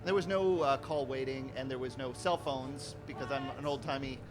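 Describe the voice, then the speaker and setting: A man's voice: mid-range voice, steady tone, moderate pace. A man casually telling a rambling personal story, acting out bits of dialogue, with guitar music playing behind him.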